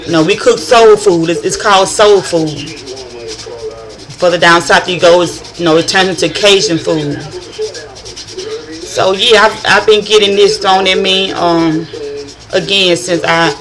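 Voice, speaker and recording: A woman's voice: on the low side, fairly even intonation, measured pace, pauses often, crowd noise, poor audio. A middle-aged woman from northern Louisiana with a country accent, rambling in a chatty vlog monologue and often restarting her sentences. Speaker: vlogger.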